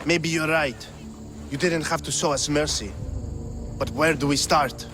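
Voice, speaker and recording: An actor playing a blunt, embittered working-class thug of ancient Athens in a video game. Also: male voice, fairly high pitch, a little slow, lightly acted. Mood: relenting. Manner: blunt, defiant. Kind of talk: video game dialogue acting. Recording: music under the voice.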